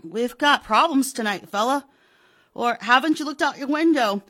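A woman voicing a gruff, overworked police sergeant with a brusque manner, told as a spoken story. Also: sits high, moderate pace, fairly bright tone, pitched above the performer's own voice.

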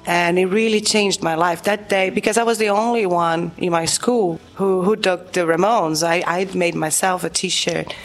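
A woman's voice: fairly low pitch, steady tone, moderate pace, few pauses, soft background music. Chatty Brazilian-born woman musician. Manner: chatty, full of fillers. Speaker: guest musician. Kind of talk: casual interview chat.

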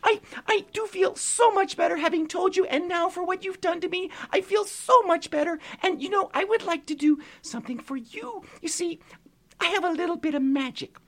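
Brief: a tiny pixie lady, squeaky, singsong, far above the teller's own voice. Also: moderate pace, fairly bright tone. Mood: grateful.

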